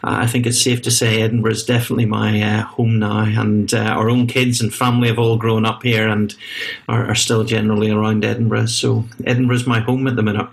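A man's voice: lowish voice, flat delivery, brisk, gravelly. A middle-aged man with a Northern Irish accent, speaking conversationally as an interview guest. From Belfast, Northern Ireland. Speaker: interview guest, surgeon and college vice-president. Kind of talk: conversational, recounting own career.

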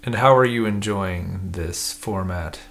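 A man co-hosting a podcast, chatting casually in a relaxed, self-deprecating way. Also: low-pitched, a little slow, vocal fry. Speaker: podcast co-host. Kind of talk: casual chat.

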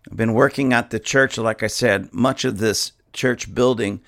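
A man, a pastor, giving impassioned podcast commentary with an indignant, emphatic, repetitive manner and a hoarse, dusty throat. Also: medium pitch, steady tone, normal speed, fairly bright tone.

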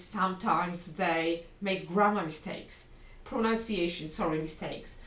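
Polish-accented woman teaching in a roomy space. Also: medium pitch. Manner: lively and encouraging, corrects herself mid-sentence. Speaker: language teacher. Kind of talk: video lesson talking to learners. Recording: roomy sound from a distant mic.

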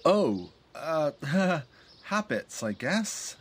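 Woman playing a low-voiced, flustered, timid son. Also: very animated, extremely slow, breathy edge, full character voice. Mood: awkward. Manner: hesitant, stammering, nervous. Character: timid, mother-smothered grown son, awkward and flustered. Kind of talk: audio play acting.

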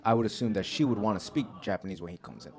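A man's voice: fairly low pitch, animated, very fast, creaky, a little mellow. A man interviewing a guest, asking probing questions and checking facts as he goes.

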